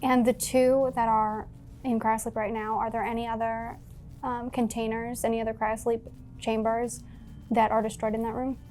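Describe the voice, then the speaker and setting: A woman with a fairly high voice plays a tabletop roleplaying game. She talks casually, in and out of character, over faint music. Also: little pitch movement, moderate pace, a little mellow.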